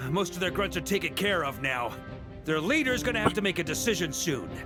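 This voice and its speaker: A man's voice: high voice, expressive, brisk, over loud music. An actor playing a seasoned, composed male knight leader in a video game, talking strategy with dry confidence. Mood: taunting.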